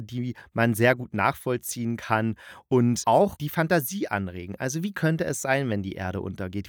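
A man's voice: mid-range voice, no echo, clean studio sound. A man giving a casual spoken review in a chatty, colloquial, opinionated manner. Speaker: audio drama reviewer, host.

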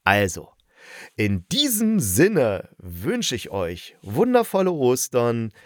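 A man's voice: mid-range voice, wildly swooping pitch, slow, no room sound, clean studio sound. A man hosting a casual review podcast, talking in a chatty, enthusiastic, colloquial way. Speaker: podcast host reviewing radio plays.

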